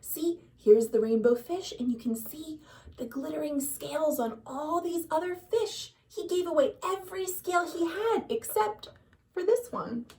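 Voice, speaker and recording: Children's librarian storytime read: woman, high voice, unhurried, dramatic delivery aimed at young listeners. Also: expressive, a little mellow, distant mic, rough recording. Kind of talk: expressive picture-book read-aloud for children. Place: at home.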